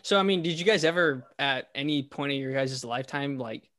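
A man's voice: mid-range voice, a little fast, fairly bright tone. A man hosting a casual interview, asking friendly questions with frequent short affirmations. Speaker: interview host.

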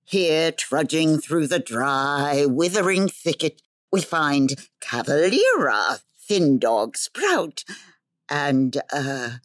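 A woman's voice: deep voice, lively intonation, takes it slowly, no room sound, studio quality. A woman playing a chatty, theatrical fantasy storyteller who is easily sidetracked, in a comedic audio drama. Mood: dramatic narrating. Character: chatty, scatterbrained female fantasy storyteller and academy alumna.

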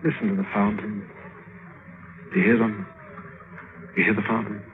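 An actor playing a cruel, silky young despot prince in theatrical, commanding old radio drama style. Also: male voice, lowish voice, level tone, normal speed, natural voice. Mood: coldly scheming. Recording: tinny, hissy old radio broadcast recording.

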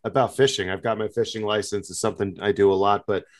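A man's voice: fairly low pitch, fairly even intonation, quick pace. A man hosting a casual interview, speaking politely and conversationally. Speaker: podcast host.

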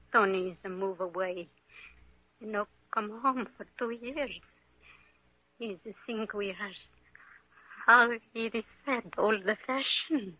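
A middle-aged Italian mother who is ailing yet tender and loving. She speaks slow, wistful broken English with an Italian accent. The acting is classic radio drama, on a dull, dry vintage recording. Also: mid-range voice, no room sound. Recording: muffled old radio recording.

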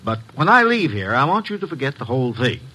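A secretive businessman in vintage radio drama. His voice is mid-pitched and sharply bright. He speaks formally and hesitantly, weighing what he reveals. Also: very animated, fast, breathy edge, full character voice, rough recording. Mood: businesslike. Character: secretive, businesslike male company president. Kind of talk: 1950s radio drama acting. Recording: vintage 1950s radio recording.

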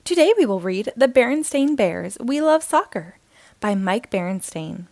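Lively woman reading a kids' book. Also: fairly high pitch, no echo. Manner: slow, expressive, sing-song reading. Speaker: storytime host reading aloud. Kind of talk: children's picture book read-aloud.

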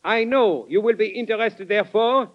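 High-voiced envoy played by a guy, fiery and scheming, old muffled radio drama. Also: normal speed, natural voice. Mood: persuasive. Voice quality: impassioned, declamatory. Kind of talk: 1940s radio acting.

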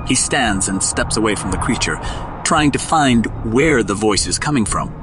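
A man's voice: lowish voice, lively intonation, normal speed, poor audio. A man narrating a scripted audio drama in a dramatic, descriptive storytelling manner. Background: music.

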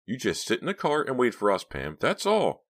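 A man's voice: medium pitch, huge pitch swings, moderate pace, a bit bright, voice pushed up. A man voicing a scared, eager young male robbery accomplice in an audiobook story, choked and anxious. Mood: anxious, placating.

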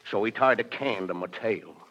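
An actor playing a nervous, aggrieved, earnest American dog catcher in a 1940s radio comedy, plaintive in manner. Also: male voice, lowish voice, moderate pace, fairly bright tone. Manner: nervous throat-clearing, plaintive. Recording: dull vintage radio recording.

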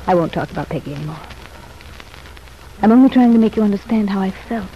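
An actress playing a contrite, earnest young ex-wife in an old-time radio soap opera, speaking in a confiding, measured way. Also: female voice, mid-range voice, animated, lo-fi sound, close to an everyday voice. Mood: confessional. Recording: noisy, band-limited vintage radio recording.

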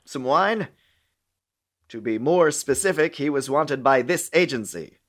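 A man's voice: medium pitch, expressive, measured pace, close to an everyday voice. An actor playing a fussy, dry middle-aged American intelligence official in a radio play, chatty and officious in his talk. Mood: officious, explaining. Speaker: actor in audio drama.

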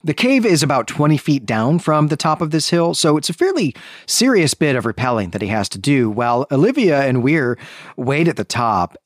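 Man with lively pitch recapping a book. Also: normal speed, dry recording, clean studio sound. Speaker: podcast host. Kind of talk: conversational literary recap and commentary.

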